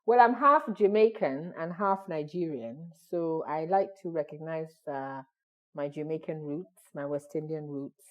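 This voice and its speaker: A woman guest coach chatting in a friendly, relaxed way during an online interview. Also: low voice, a little slow. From United Kingdom. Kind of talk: casual interview chat. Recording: dull lo-fi call audio.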